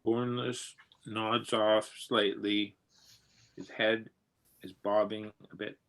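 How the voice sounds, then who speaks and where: A man's voice: on the low side, flat delivery, takes it slowly, a bit bright, rough recording. A man reading stage directions as the detached narrator of a radio play. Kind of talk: scripted narration.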